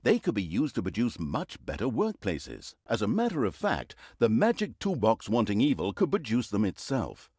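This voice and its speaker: A man playing a wry, mocking storyteller who narrates a dark fantasy comedy game in a theatrical way. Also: lowish voice, huge pitch swings, normal speed, few pauses, slightly rough, no room sound, clean studio sound, exaggerated voice. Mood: wry, dramatic.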